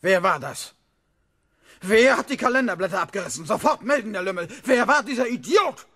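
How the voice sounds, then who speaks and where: An actor playing a stern, irritable schoolmaster who barks questions and orders in a 1970s children's radio play. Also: male voice, high voice, animated, brisk, a bit bright, breathy edge, heavily acted. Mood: outraged. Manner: exclamatory, barking questions and orders. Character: stern, irritable village schoolmaster, scolding his class.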